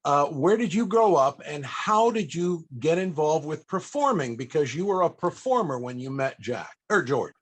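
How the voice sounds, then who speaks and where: A man with a fairly high voice hosts an online panel over a video call. He speaks off the cuff and haltingly, with courteous, formal appreciation for his guests. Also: very animated. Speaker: panel host and interviewer. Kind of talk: hosting an online interview, off the cuff. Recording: video call with occasional breakup.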